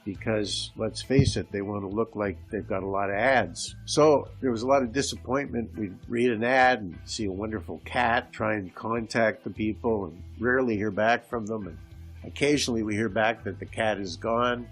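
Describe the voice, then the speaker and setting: A congested, slightly hoarse man from Canada hosts a podcast from home, telling stories in a chatty way on an old microphone. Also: fairly low pitch, normal speed, faint music behind. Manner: conversational storytelling, with restarts. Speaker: podcast host. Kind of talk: casual solo podcast monologue. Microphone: old home microphone with hiss.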